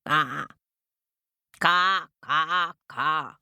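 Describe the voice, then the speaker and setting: A woman voicing an angry, bossy male crow in a children's story, rasping and scolding with menace. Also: low-pitched, drawn-out words, bright, forceful tone, dry recording, clean studio sound, full character voice. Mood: calling, commanding. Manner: cawing, scolding, threatening.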